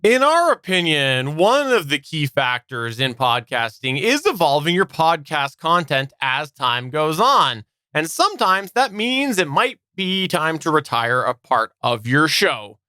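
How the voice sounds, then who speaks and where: A man's voice: on the high side, sing-song, a little slow, few pauses, sharp tone, smooth, no echo, clean studio sound. A man hosting a podcast, presenting to listeners in an organized way. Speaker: podcast host.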